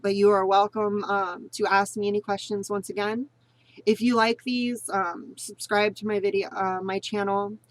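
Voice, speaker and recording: A woman's voice: medium pitch, flat delivery, moderate pace, no echo, poor audio. A woman giving a casual spoken tutorial on voice training, rambling and repeating herself, earnest and encouraging. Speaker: vlogger teaching voice training.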